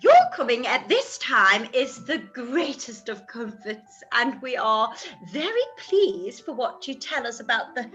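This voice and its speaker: A woman's voice: fairly high pitch, animated, a little slow, few pauses, fairly bright tone, soft background music. A woman playing a fretful, self-pitying middle-aged mother in a period radio play, complaining and exclaiming melodramatically. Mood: tearful grievance.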